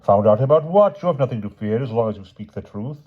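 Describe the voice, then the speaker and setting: A man voicing an amiable, formally polite gentleman investigator in an audiobook novel. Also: mid-range voice, lively intonation, fast, phone-quality sound, voice pushed up, close to an everyday voice. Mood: concerned. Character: courteous, genial, sociable gentleman investigator.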